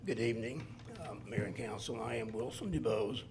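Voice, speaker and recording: A hoarse man giving a formal public comment at a city council hearing, speaking haltingly and correcting himself as he goes. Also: mid-range voice, noisy background.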